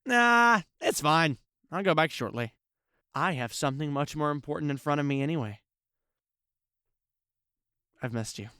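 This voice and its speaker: A man's voice: a little high, lively intonation, normal speed, pauses often, bright, forceful tone, smooth, no echo, studio quality. An actor playing a flirtatious, playful male news reporter in an audio drama, with a breezy, teasing manner.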